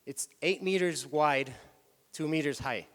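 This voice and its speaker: A man's voice: on the high side, a little slow, a bit bright. Chatty Spanish-accented man on stage. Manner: loose, chatty, self-correcting. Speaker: tour company head giving a talk. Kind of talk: stage presentation. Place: hall with audience. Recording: reverberant hall.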